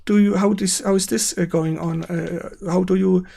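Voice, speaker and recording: A man with a German accent hosting a casual live-stream interview in halting non-native English, searching for words. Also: high-pitched, fairly even intonation, vocal fry, mellow.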